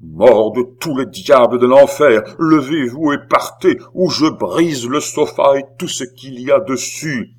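Man acting a loud, raging jealous lover. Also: a little high, animated, a little fast, few pauses, slightly rough, pitched above the performer's own voice. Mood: threatening. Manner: shouting, blustering. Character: brutal, hot-tempered jealous male lover, loud blusterer.

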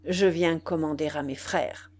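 A woman voicing a commanding male rebel chief in an audiobook, with measured, imperious proclamations. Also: deep voice, fairly even intonation, darkish tone.

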